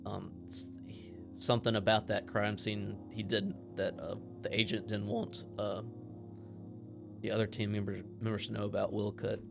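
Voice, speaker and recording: Male tabletop player, low creaky voice, halting delivery, improvising his character's actions. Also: level tone, music in the background.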